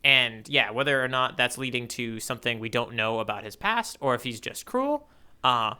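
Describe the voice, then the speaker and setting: A man's voice: mid-range voice, animated, normal speed, pushed and bright, clear, resonant tone. A man co-hosting a podcast, casually discussing in a musing, playful way and often checking in with "right?". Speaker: podcast co-host.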